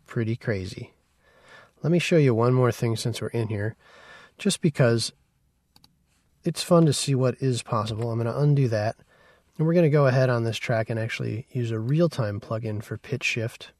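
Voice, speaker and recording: A man giving a casual, conversational software tutorial as an instructor. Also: mid-range voice, normal speed, no room sound. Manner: informal, conversational.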